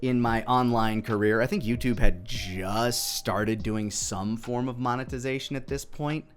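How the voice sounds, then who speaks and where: Faint music plays behind a man with a mid-pitched voice. He talks casually and off the cuff, like a creator commenting on his own work, rambling and questioning himself as he thinks aloud. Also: fairly even intonation, measured pace.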